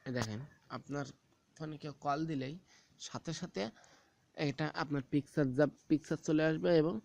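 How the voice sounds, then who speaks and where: A man gives an informal, halting step-by-step phone app tutorial, often repeating himself. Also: on the high side, steady tone, a little mellow, highs cut off. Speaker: tech tips tutorial host. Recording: very noisy, rooster crowing and clicks behind.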